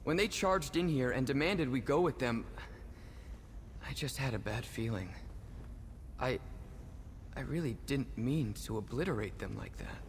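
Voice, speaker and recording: A man's voice: medium pitch, animated, unhurried, natural voice. Male actor as young powerful twin, nervous and sincere, reverberant with faint noise. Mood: shaken, defensive. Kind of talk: video game voice acting. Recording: reverberant hall, faint noisy ambience.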